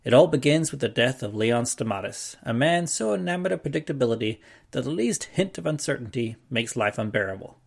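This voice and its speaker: A man's voice: medium pitch, lively intonation, fairly quick, creaky, breathy edge. Man introducing a podcast episode. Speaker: podcast host. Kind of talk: scripted show introduction.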